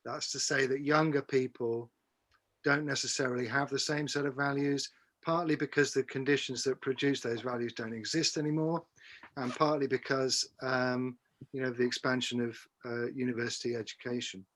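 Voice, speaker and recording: A man's voice: mid-range voice, level tone, moderate pace, fairly bright tone. A man putting a long, tentative question in a live discussion, often restarting words. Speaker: audience member asking a question.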